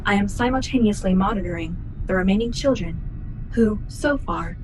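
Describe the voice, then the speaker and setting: Music plays clearly behind a formal female ship's computer acted by a woman. The voice is mid-pitched, measured and precise, an obedient artificial mind in a sci-fi radio drama. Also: natural voice, low-quality recording. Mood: formal log. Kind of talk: sci-fi radio play acting.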